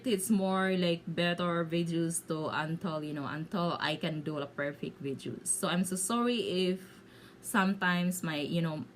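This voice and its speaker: Low-pitched Filipino woman vlogging, halting English. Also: slight background noise. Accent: Filipino, Bisaya. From Philippines. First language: Bisaya. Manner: halting, searching for words in limited English. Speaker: video blogger. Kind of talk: casual storytelling to viewers.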